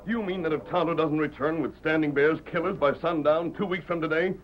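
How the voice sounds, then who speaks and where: Casting: man playing noble Western hero, slightly high male voice, earnest formal diction, vintage radio acting, muffled old recording. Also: fairly even intonation, a little fast, slight background noise, natural voice. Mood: resolute.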